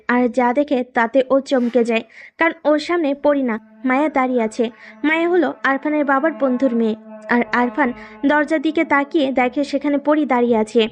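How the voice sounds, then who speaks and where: A woman narrates a romantic story from a script. Her voice is high, and she tells it as the storyteller. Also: moderate pace.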